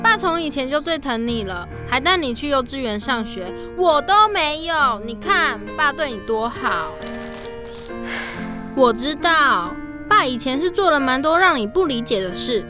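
A woman's voice: high-pitched, sing-song, moderate pace, over music. A young woman playing a protective, caring elder sister in an audio drama, coaxing and reassuring. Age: young adult. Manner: gentle coaxing, nagging reassurance.

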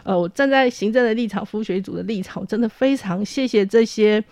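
A woman speaks in Taiwanese Mandarin with a mid-pitched voice and very little room sound. She talks as an administrator in an interview, deliberate and appreciative, softening phrase endings with particles. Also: no echo, clean studio sound. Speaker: university administrator. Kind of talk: spoken interview remarks.

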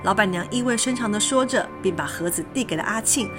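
A woman reading a children's story as narrator in an expressive, scripted storybook style. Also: mid-range voice, quick pace. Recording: music behind the voice.

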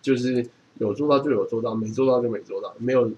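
A man's voice: medium pitch, fast, mellow, dull audio, slight background noise. A man, reading from a book and explaining it in a casual chat. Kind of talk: reading a book aloud and chatting about it.

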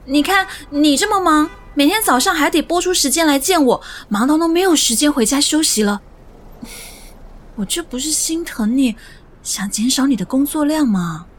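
An actress playing a soft-hearted, evasive young woman in a romance radio drama, with a hesitant, coaxing way of talking. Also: high-pitched, expressive, normal speed, slight background noise. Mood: pleading.

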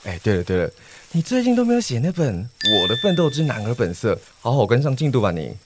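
Teasing Taiwanese schoolboy, swooping pitch played by a man. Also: very animated, quick pace, noisy background, natural voice. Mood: casual prodding. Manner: slangy, sighing, exclaiming, mocking. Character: teasing male high school student, blunt best friend. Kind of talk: Mandarin radio play acting.

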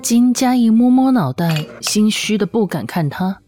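一位女性有声小说旁白，照着剧本富有表情地讲述故事。. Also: female voice, medium pitch, expressive, a little slow, dark tone. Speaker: audiobook narrator. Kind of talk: expressive scripted story narration. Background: background music.

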